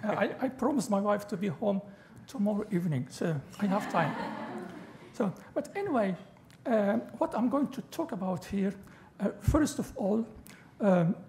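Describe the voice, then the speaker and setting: A middle-aged man whose first language is Arabic, giving an academic lecture at a panel table in a large lecture hall, halting with fillers and restarts and adding joking asides. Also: sits high, expressive, a little mellow, a little hiss or hum. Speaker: guest lecturer. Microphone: table microphone.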